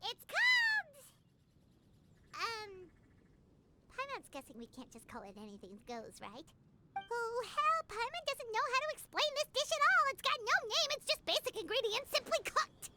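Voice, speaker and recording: Super squeaky little floaty buddy, bouncy up and down voice, noisy game capture. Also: female voice, unhurried, heavily acted. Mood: puzzled. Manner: talks about herself in third person, excitable. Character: tiny chirpy female floating companion.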